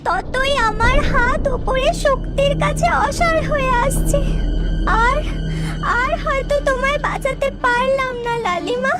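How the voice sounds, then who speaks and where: An earnest, caring young fairy girl from a children's cartoon, played by a woman, has an extremely high, childlike pitch. Loud music runs behind the voice. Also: unhurried. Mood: urgent, despairing.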